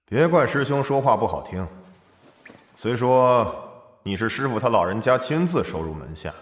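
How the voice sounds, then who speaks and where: Male actor as condescending senior Taoist disciple, audio drama, mid-pitched, expressive lecturing, long reverb. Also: moderate pace, lightly acted. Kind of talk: Chinese audio drama acting. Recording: echoing hall reverb.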